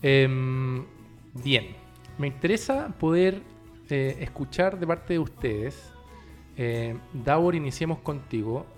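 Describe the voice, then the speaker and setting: Man, Chilean accent, interview host, mid-pitched voice, formal deliberate wording, faint music behind. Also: lively intonation. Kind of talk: conversational political interview.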